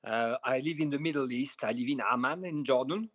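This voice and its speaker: A man with a French accent gives a measured interview answer, stopping now and then on soft fillers. His voice sits at an ordinary middle pitch. The sound is close and dry, with no room around it. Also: no room sound. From France. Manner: measured, pausing with frequent uh fillers. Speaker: film director guest. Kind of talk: podcast interview.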